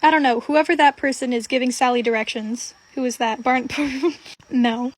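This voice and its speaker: A woman's voice: fairly high pitch, steady tone, normal speed. A young woman giving casual, improvised commentary in a giggly, slangy way, as a video creator.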